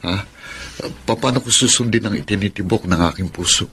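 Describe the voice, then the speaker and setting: A man's voice: lowish voice, a little fast, a bit bright, breathy edge, exaggerated voice. A man acting a shy, lovesick bachelor in a Filipino radio romance drama. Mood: pining. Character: lovesick, shy, devoted bachelor, male best friend.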